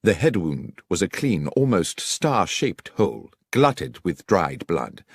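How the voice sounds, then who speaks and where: Low-voiced male audiobook narrator, dry sound. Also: big pitch swings, slow, husky, no echo. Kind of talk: scripted story narration.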